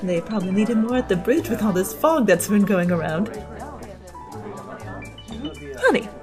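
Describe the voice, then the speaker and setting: A woman's voice: mid-range voice, expressive, fast, music in the background, lightly acted. A woman playing a bubbly, nagging wife. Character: chatty, fussy wife on an ocean liner. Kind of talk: amateur radio play acting.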